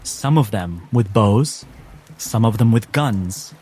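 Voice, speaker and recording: Slow, low-voiced man narrating as game master. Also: lively intonation, a little mellow, a little hiss or hum. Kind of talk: dramatic tabletop game narration. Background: cinematic background music.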